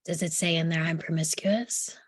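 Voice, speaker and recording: A woman's voice: sits low, fairly even intonation, moderate pace, breathy edge. A woman playing a defiant, sardonic young psychiatric patient in a screenplay table read, with a guarded, dry, challenging delivery. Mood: provocative, guarded.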